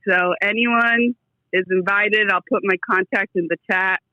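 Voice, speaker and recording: A woman's voice: mid-range voice, fairly even intonation, unhurried, dry recording. A woman is phoning in to a comedy show, and the line sounds dull and slightly muffled with little room around it. She chats casually. Her talk rambles and jokes along loosely. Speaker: caller to a comedy podcast. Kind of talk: casual chat. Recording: dull, band-limited call-in audio.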